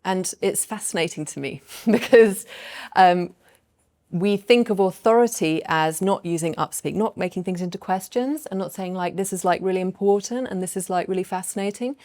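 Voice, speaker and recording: A woman voice coach on a panel, explaining her ideas to an audience in a thoughtful, measured way with light laughs. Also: mid-range voice, darkish tone. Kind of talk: panel talk answering audience questions.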